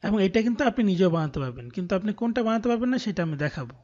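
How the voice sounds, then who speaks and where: A man's voice: sits high, big pitch swings, rapid-fire, darkish tone. A man with a Bangladeshi accent giving an explanatory screen-recorded tutorial, often checking in with listeners. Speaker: online course tutor. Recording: dull, muffled lo-fi voice recording.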